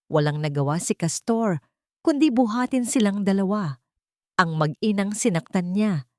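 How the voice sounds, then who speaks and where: Low-voiced woman narrating a dramatic story. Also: a little mellow, dry recording, studio quality.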